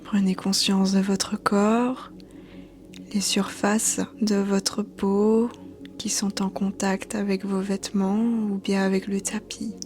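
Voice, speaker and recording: Slow, hushed woman guiding meditation. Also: mid-range voice, little pitch movement, slight background noise. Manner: soothing, hushed, breathy delivery. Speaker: yoga teacher. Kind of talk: guided meditation narration. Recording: soft background music. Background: quiet music.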